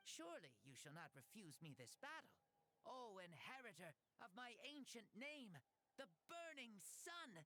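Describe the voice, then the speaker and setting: A woman's voice: on the high side, very animated, a little slow, pushed and bright, heavily acted. A woman playing a playful, grandiose ancient warrior hero in a boy's body for a video game, declaiming theatrically. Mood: solemn challenge. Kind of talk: video game character acting. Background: background music.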